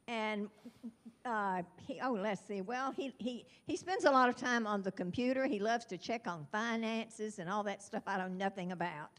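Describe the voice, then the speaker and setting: Giggly older woman introducing residents. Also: on the high side. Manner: warm, giggly, scattered, self-deprecating. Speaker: retiring neighborhood representative. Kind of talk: introducing new residents at a meeting. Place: chapel hall.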